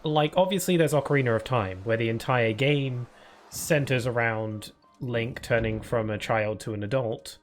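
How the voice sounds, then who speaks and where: A man chats casually through a live game stream, rambling with frequent fillers and self-corrections. His voice sits in an ordinary male pitch and carries what he calls a permanent cold voice. Faint game music plays quietly behind him. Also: normal speed. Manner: rambling, loose with many fillers and restarts; answers viewer chat aloud. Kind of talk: casual live-stream chat while playing.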